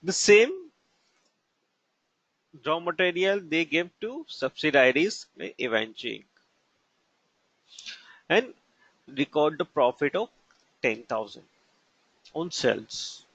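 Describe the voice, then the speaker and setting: Male trainer, Indian accent, fairly high voice, halting short phrases, instructional lecture, muffled lo-fi sound. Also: animated, a bit bright, slightly rough, dry recording. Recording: dull lo-fi narration, dry.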